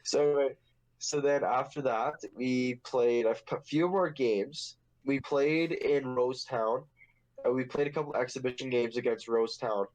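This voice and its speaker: A man's voice: mid-range voice, moderate pace, darkish tone, rough recording. A young man hosting a casual podcast, telling a story in a rambling, profane, joking way. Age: young adult. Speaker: podcast host.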